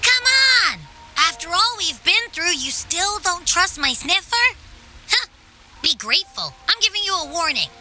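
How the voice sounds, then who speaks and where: An actress voicing a cheeky, proud little creature in a video game, bossy and boastful, with a dramatic delivery. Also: female voice, very high voice, sing-song, normal speed, bright, forceful tone, faint music behind, exaggerated voice. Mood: indignant, huffy.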